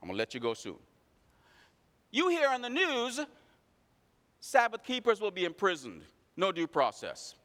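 High-voiced preacher in a reverberant hall. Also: male voice, huge pitch swings, normal speed, pauses often, a bit bright. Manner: preaching with rhetorical questions to the congregation. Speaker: pastor. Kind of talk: sermon.

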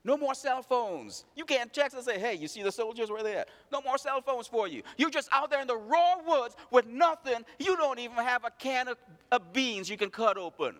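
A man's voice: very high voice, lively intonation, normal speed, hardly any pauses, a bit bright, big-room sound. A man preaching a sermon, calling out his congregation with rhetorical questions. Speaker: pastor.

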